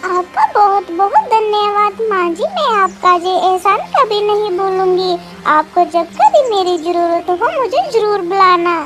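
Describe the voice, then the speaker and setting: A woman's voice: very high-pitched, expressive, unhurried, hardly any pauses, over loud music. A woman voicing an earnest, hard-working little mother sparrow, wronged but determined, in a children's cartoon. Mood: grateful. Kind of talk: children's cartoon story acting.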